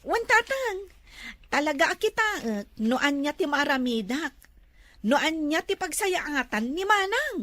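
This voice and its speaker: A vain, giggly younger beautician with an Ilocano accent , acted by a woman. Her very high voice swings widely in pitch and takes its time. It is village comedy acting in a dry recording. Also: very animated, unhurried, fairly bright tone, no echo, heavily acted. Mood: coy, confident.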